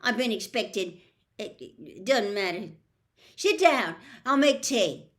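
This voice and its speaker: A woman voicing a nervous, pleading male giant gamekeeper in a storybook, halting and flustered. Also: mid-range voice, lively intonation, fairly bright tone.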